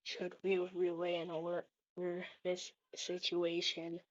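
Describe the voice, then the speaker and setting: A synthetic female voice speaking scripted dialogue in a stilted, deliberate way. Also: fairly low pitch, monotone. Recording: dull lo-fi audio.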